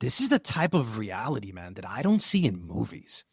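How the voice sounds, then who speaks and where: A man's voice: lowish voice, very animated, normal speed, breathy edge, no echo, studio quality. A man hosting a podcast, asking questions in a casual, candid way and swearing freely. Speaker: podcast host. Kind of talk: casual interview chat.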